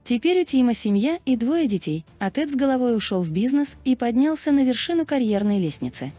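A woman narrating a scripted video in a measured, explanatory manner. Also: fairly high pitch, lively intonation, mellow.

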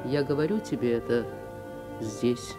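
An actress playing a grown daughter narrating her childhood in a radio play, measured and reflective. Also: a bass voice. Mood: sorrowful. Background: music.

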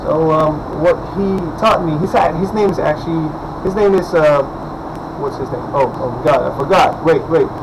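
Hoarse young man chatting to a webcam. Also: a little high, normal speed, noisy background. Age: young adult. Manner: rambling, chatty, many fillers and restarts. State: hoarse, just woke up. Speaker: vlogger. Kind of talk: casual vlog talk to camera. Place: indoors at home, small room. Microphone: webcam at desk distance.

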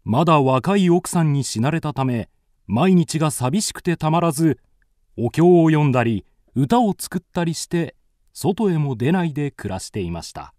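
A man's voice: a little high, expressive, normal speed, darkish tone, dry recording, studio quality. A man narrating a scripted ghost tale as the storyteller of a radio drama.

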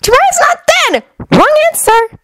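A woman voicing a bossy, sharp-tongued teenage ninja girl with a pert, sarcastic manner in a story reading. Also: extremely high pitch, very animated, unhurried, no echo, studio quality, pitched above the performer's own voice, exaggerated voice. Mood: snappy. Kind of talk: fan fiction story reading with character voices.

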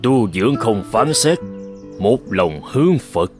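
A man playing a calm, forgiving elderly temple master in a cartoon, measured and gently instructive. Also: mid-range voice, over music. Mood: serene. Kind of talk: cartoon voice acting.

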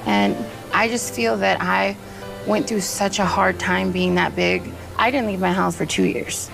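Gravelly-voiced woman in her 30s, earnest, talking over music. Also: medium pitch, fairly even intonation, a little slow, rough recording. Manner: earnest, heartfelt. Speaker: salon owner, makeup artist. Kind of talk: casual emotional conversation. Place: salon. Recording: background music under voice.

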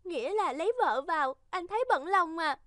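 A woman's voice: very high voice, lively intonation, brisk, a bit bright, no echo, clean studio sound. A woman voicing a hurt, reproachful pregnant young wife in a cartoon, speaking plaintively. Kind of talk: cartoon dubbing.

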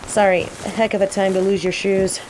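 A woman playing a cocky, teasing female mage who controls plants, in a scripted roleplay audio drama, with an unhurried, playful drawl. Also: medium pitch, close to an everyday voice, rough recording. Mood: teasing, searching. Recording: steady rain ambience noise. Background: falling rain.

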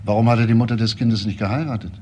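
A man acting a calm police detective in a crime drama. His low voice probes with short, matter-of-fact questions, over faint background noise. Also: racing, natural voice. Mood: probing. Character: calm, persistent male police homicide detective.

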